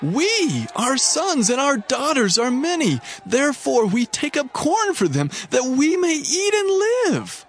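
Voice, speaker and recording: A man's voice: extremely high pitch, huge pitch swings, measured pace, few pauses, exaggerated voice. A man playing an anguished, hungry commoner crying out a plaintive complaint in a scripture drama. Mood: anguished pleading.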